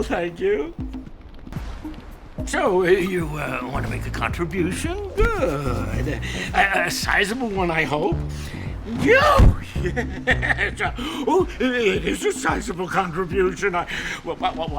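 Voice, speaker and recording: Flustered pompous mayor, blustery stammer, slow n really high, game music behind. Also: male voice. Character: pompous, flustered, self-serving male village mayor. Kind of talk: cartoonish video game acting.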